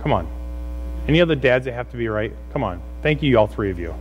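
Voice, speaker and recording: A man's voice: mid-range voice, fast, highs cut off. Pastor, male, middle-aged. Exhorting yet conversational sermon delivery with rhetorical questions, slight mains hum on the recording. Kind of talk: sermon to a congregation. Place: church room, speaking from a stage. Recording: steady mains hum and noise.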